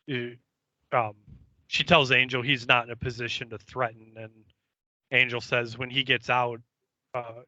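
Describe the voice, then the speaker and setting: A man with a very bright, tense voice talks through a casual recap, halting often and moving slowly. He is hesitant and loose with swearing. The sound is somewhat dull and echoey. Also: medium pitch. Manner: halting, hesitant, foul-mouthed. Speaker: podcast co-host.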